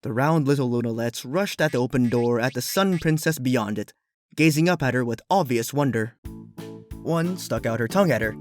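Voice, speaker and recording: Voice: mid-range voice, animated, moderate pace, soft background music. A storyteller reading a scripted fictional narration.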